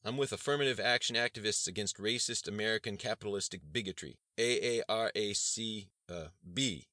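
A man voicing a quick-witted young man posing as a streetwise radical, bold and slangy, in audiobook dialogue. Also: fairly low pitch, sharp tone, no room sound, studio quality, voice pushed up. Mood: bluffing, cheeky.

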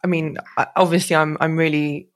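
A woman host with a notably low voice. She talks in a loose, thoughtful way, musing through her points with her guest. Her tone stays relaxed and chatty. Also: fairly quick. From London. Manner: conversational, thoughtful, musing aloud. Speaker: podcast host. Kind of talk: casual interview chat.

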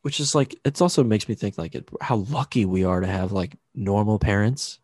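Low-voiced man joking around on a podcast. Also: moderate pace, a little mellow, slightly rough, no echo. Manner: joking, playful, tosses in silly put-on accents. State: sleepy. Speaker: podcast co-host. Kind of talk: casual chat.

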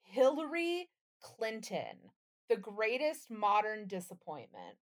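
A woman's voice: medium pitch, very animated, takes it slowly. A woman co-hosting a casual comedy podcast, talking in a blunt, sardonic and sweary way. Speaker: podcast co-host.

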